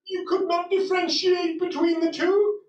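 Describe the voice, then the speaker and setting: A man's voice: extremely high pitch, little pitch movement, moderate pace, lo-fi sound. A man delivering an emotional sermon, retelling a sacred story in a dramatic, mournful way. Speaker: religious lecturer. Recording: echoey hall, distant microphone.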